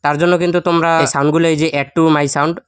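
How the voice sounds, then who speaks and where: A man's voice: on the high side, flat delivery, rapid-fire, smooth, no echo. A man narrating a step-by-step tutorial, addressing his viewers directly as host. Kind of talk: instructional screen-recording voice-over.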